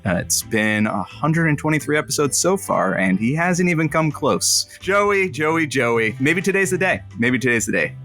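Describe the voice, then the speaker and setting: An actor playing a droll radio engineer and announcer sidekick, wry, teasing and a little hesitant, in an audio comedy. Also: male voice, a little high, very animated, moderate pace, few pauses, fairly bright tone, faint music behind. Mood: mock-earnest announcing. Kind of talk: scripted audio comedy acting.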